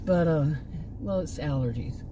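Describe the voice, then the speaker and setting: A woman's voice: low voice, expressive, normal speed. An older woman telling a rambling story in a casual vlog, her voice fading and strained as she goes. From Texas. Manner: rambling, self-interrupting, chuckling asides. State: losing her voice. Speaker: vlogger. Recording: loud traffic noise outside.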